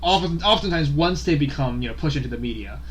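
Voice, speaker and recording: A man from Taiwan speaking as a podcast guest, talking in a halting way, restarting often and searching for the right words. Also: a little high, lively intonation, slight background noise. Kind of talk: casual chat.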